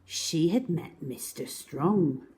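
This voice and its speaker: Slow, very animated woman storyteller. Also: sits low. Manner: dramatic pauses and emphasis, addresses young listeners. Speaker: children's storyteller. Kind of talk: expressive picture-book reading for young children.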